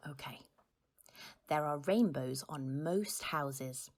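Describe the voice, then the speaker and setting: Slow, patient, lowish-voiced mother. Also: full character voice. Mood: gentle. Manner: patient, hesitant, gentle explaining. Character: patient mother describing the view to her child. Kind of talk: audio drama acting.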